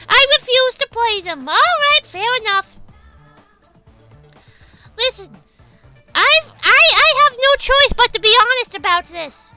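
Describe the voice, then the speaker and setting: Very high female voice, piercingly bright, gravelly, blunt sweary rambling, casual livestream chat. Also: big pitch swings, slow pace, pauses often, soft background music. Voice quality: high-pitched. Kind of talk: casual stream chat, reading out and answering viewer comments.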